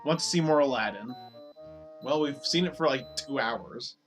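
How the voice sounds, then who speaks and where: A man streaming games, talking casually and rambling with lots of fillers, his voice slightly hoarse. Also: mid-range voice, normal speed, soft background music. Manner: rambling, filler-heavy, repeats words. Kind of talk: casual live-stream chat over gameplay.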